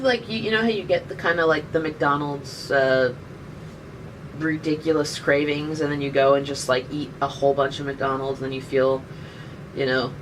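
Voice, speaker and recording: A woman's voice: low-pitched, a bit bright. A woman in her thirties chatting casually on a live stream and answering viewer questions with jokes. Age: 30s. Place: indoors by a window. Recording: distant webcam mic, faint background noise.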